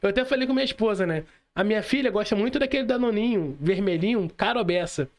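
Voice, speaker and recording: A man's voice: high voice, expressive, fast talker. A young Brazilian man in motivational podcast chat, emphatic and slangy, speaking straight to the listener.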